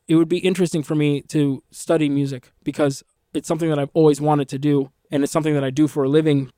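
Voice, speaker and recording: Man, native Arabic speaker, fairly high voice, reflective, restarts sentences, dry close sound. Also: warm tone, smooth, no room sound. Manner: reflective, with frequent restarts and "you know". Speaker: musician guest. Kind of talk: interview conversation.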